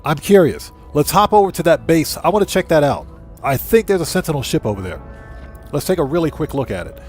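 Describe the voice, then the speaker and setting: A man with a somewhat higher-pitched voice hosts a video game livestream. He thinks aloud and addresses his viewers in a casual, chatty way. Music plays behind him. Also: big pitch swings, a little fast. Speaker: livestream host.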